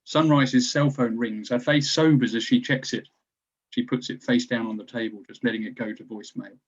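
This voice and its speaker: A man's voice: medium pitch, steady tone, moderate pace, lo-fi sound. A man reading stage directions as scripted narration for a screenplay table read.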